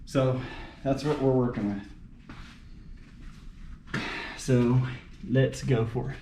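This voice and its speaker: Male DIY mechanic vlogger, mid-pitched, casual and folksy, off-the-cuff, roomy noisy recording. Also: steady tone, slow pace, dark tone. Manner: folksy, muttering to himself and explaining to camera. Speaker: DIY car repair vlogger. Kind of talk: casual unscripted talk while working. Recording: echoey, noisy, clicking, uneven mic distance.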